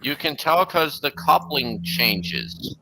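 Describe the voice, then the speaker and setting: A man's voice: on the high side, animated, takes it slowly, pushed and bright, a little hiss or hum, poor audio. A man hosting a livestream, giving casual, earnest, detail-focused commentary on videos he is watching. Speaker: livestream host. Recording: music playing behind the voice.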